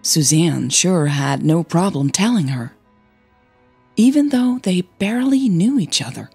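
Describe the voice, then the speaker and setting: A woman narrating scripted fiction in a soft, breathy voice. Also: fairly low pitch, sing-song, unhurried, no echo, soft background music.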